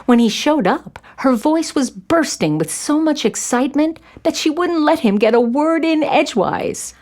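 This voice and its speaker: Expressive, higher-pitched woman storyteller. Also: moderate pace. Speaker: narrator. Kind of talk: scripted children's story narration.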